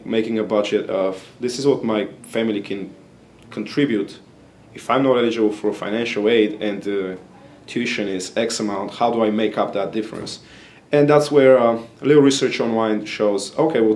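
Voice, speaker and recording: Earnest young man from Bulgaria, low voice. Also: darkish tone. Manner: earnest, explanatory, with frequent uh fillers. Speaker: graduate student. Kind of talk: interview answers.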